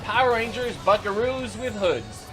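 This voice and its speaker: A man's voice: sits high, sing-song, measured pace, music in the background. A man hosting a reaction video, giving unscripted running commentary in an exasperated, sarcastic, incredulous way.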